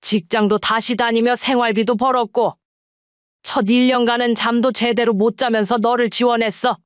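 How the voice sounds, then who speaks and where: A woman playing a firm, unbending middle-aged mother who speaks bluntly. Her voice is smooth and clear, and the recording is dry and slightly muffled. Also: fairly high pitch, fairly even intonation, normal speed, no echo, natural voice. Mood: cold. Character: resolute mother-in-law, firm and cold.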